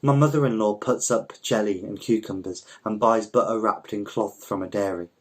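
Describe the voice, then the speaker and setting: A man reading literary fiction aloud as a measured storyteller, with slight stumbles. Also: on the low side. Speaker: volunteer story reader.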